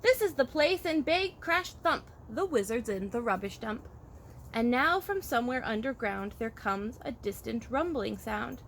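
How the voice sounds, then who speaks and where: Female childcare storyteller, fairly high voice, expressive rhyming read-aloud, faint background noise. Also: a little slow. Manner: expressive, rhythmic rhyming delivery. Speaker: childcare worker reading to children.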